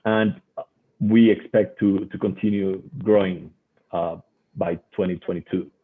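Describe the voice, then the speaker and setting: A man with a Colombian accent presents on a muffled, phone-like online call. His voice is fairly low and husky, and he speaks haltingly, often repeating words as he goes. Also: steady tone. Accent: Colombian Spanish. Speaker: guest research associate presenting. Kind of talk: webinar presentation. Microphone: online video call. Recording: muffled, phone-like webinar audio.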